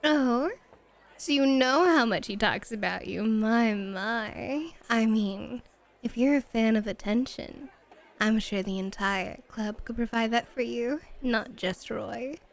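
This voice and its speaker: A woman playing a sly, playful club hostess in a flirty ASMR roleplay, teasing with coy, mock-scandalized, drawn-out phrasing. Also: on the high side. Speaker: guest voice actor.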